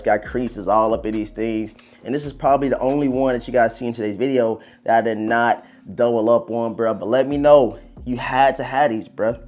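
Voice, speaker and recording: A man with a mid-range voice that is a little hoarse talks to his viewers like a video host. His delivery is informal and slangy, full of casual hype and friendly forms of address like bro and man. Music plays behind him. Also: mid-range voice, a little mellow. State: slightly hoarse, voice partly lost from screaming.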